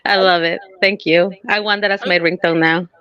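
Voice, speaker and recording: A woman co-hosts a casual podcast in a slightly low, bright voice. She is effusive and tends to repeat herself. The sound is dry and close. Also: fairly even intonation, moderate pace, clean tone, no echo, highs cut off. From Mexico. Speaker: podcast co-host. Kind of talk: casual bantering chat.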